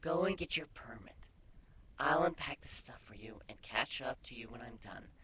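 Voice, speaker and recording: A man voicing a playful, affectionate female changeling in a fantasy story, with a soft, breathy, coy delivery. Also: medium pitch, lively intonation, moderate pace, slight background noise.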